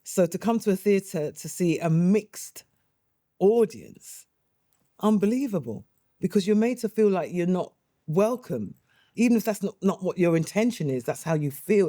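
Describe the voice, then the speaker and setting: Warm, lively actress reminiscing. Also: female voice, lowish voice, no room sound, clean studio sound. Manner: animated, laughing often, chatty. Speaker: actress and playwright. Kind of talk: interview, reminiscing.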